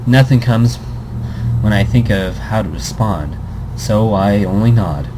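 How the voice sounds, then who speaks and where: Male let's play host, low voice, reads the story aloud and stumbles over words, loud air conditioning hum. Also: a little slow. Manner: stumbles and restarts words, chuckling asides. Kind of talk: reading a visual novel aloud with commentary.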